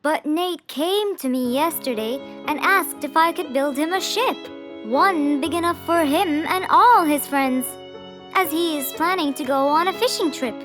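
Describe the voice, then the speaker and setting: Woman doing a very high-pitched, earnest cartoon critter. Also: a little slow, music in the background. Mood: earnest explaining. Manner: earnest, pleading, polite. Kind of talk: children's cartoon voice acting.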